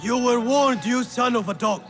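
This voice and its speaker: A man's voice: extremely high pitch, normal speed, soft background music. A man playing a haughty, possessive rich patron in a video game, pompous and indignant. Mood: angry, indignant. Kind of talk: theatrical video game acting.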